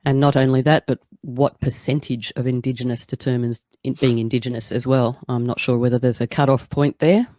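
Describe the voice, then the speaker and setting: A man hosting a podcast in an animated, chatty way, with many fillers. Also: mid-range voice, fairly quick, few pauses, slight background noise. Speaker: podcast host. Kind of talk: casual chat.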